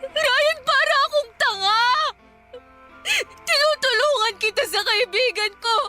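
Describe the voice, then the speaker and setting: A stubborn, lovesick young woman who has had too much to drink. Her voice is extremely high and piercing, whiny and defiant with raw emotion. It is played as radio drama over a soft music bed. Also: unhurried, full character voice. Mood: anguished confession. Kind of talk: Tagalog radio drama acting. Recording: music bed behind the dialogue.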